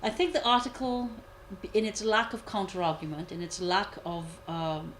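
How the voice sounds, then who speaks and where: A woman commentator giving a measured analysis, repeating key points for emphasis. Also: on the low side, a bit bright, slight background noise. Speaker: guest commentator. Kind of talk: analytical discussion.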